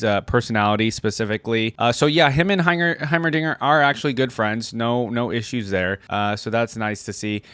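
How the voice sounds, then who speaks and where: A man's voice: medium pitch, dry recording. A man hosting a reaction video with casual, conversational commentary and emphatic stress. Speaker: reaction channel host. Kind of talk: casual reaction commentary with read-aloud passages.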